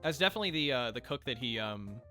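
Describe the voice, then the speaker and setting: A man's voice: medium pitch, animated, fast talker, sharp tone, soft background music. A man co-hosting a casual let's play, rambling and swearing as he plays. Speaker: co-host, gamer.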